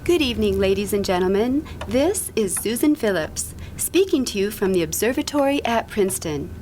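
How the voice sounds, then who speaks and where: A woman's voice: medium pitch, lively intonation, moderate pace, darkish tone, a little hiss or hum, lightly acted. A woman playing an earnest radio commentator reporting on location in an old-time audio drama, formal and courteous. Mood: earnest, curious.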